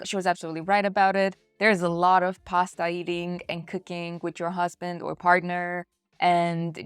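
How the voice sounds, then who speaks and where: A woman's voice: mid-range voice, smooth, no room sound. A woman hosting a book review in a chatty, conversational way, speaking directly to her viewers. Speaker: book reviewer and channel host.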